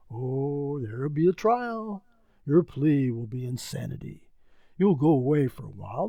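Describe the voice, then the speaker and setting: An actor playing a sly, mocking, sinister narrator in 1940s radio mystery style, gravelly, husky and gloating. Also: male voice, fairly high pitch, dark tone, dry recording, full character voice. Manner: sardonic, gloating.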